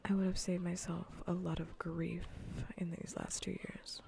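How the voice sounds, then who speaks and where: A woman streamer chatting casually in a soft, breathy whisper on an ASMR gaming livestream, rambling and repeating phrases, close on the microphone. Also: lowish voice, flat delivery, normal speed, background noise.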